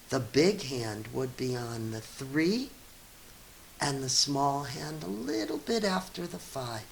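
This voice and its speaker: A woman teacher reads a story to children at a slow, deliberate pace. Her delivery is expressive and encouraging, moving between narration and simple teaching asides. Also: low voice, creaky, a little hiss or hum, poor audio. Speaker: teacher reading aloud to children.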